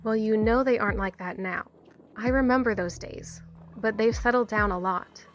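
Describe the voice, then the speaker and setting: A woman playing a calm, pragmatic small-town police officer in an audio drama, speaking gently and reassuringly. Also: medium pitch, normal speed, slight background noise, lightly acted. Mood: frank, pragmatic. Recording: music under the voice.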